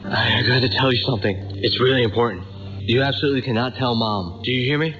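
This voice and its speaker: A man's voice: mid-range voice, quick pace, close to an everyday voice. An actor playing an anxious, hesitant married son who stammers as he soothes his family in a radio drama. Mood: urgent, secretive. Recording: muffled, noisy radio capture.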